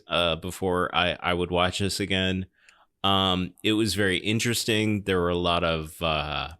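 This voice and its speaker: Male podcast host, lowish bright voice, emphatic and wry, casual movie chat. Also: clean tone. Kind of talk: casual film discussion chat.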